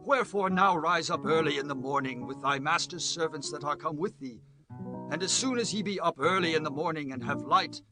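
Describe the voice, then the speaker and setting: A man's voice: a little high, fairly quick, music in the background, lightly acted. A man acting a courteous, regretful king in a dramatized scripture audiobook, formal and earnest. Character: regretful, courteous king speaking kindly to his follower.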